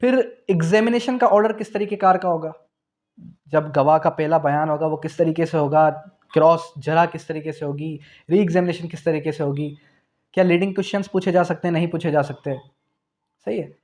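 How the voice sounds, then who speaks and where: Higher-pitched Pakistani man lecturing. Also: brisk, darkish tone. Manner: didactic, asks and answers own questions; repeats key phrases for emphasis. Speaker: law teacher. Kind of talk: exam revision lecture.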